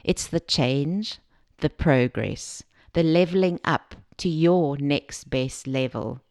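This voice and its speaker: A woman from Zambia giving a scripted self-help talk as a podcast host, measured and explanatory, speaking directly to the listener. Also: low voice, a little mellow, dry recording, clean studio sound.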